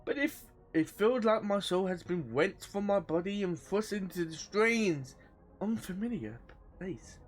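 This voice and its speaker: A woman's voice: mid-range voice, lively intonation, a little slow. A woman giving loose, rambling playthrough commentary as a game streamer, casual and sweary, sometimes reading game text aloud. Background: game music.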